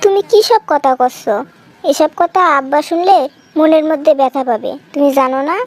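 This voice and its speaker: A woman's voice: high-pitched, normal speed, a little mellow. A woman playing a young wife in a comic cartoon, coaxing and chiding with a rural Bangladeshi accent. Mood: hurt, scolding. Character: placating young wife, protective of her father.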